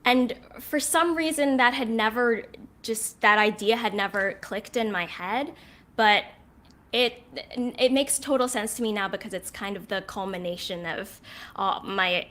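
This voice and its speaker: A woman's voice: mid-range voice, measured pace, a bit bright. A woman talking casually as an interview guest, earnest and often searching for her words. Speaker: guest writer and performer.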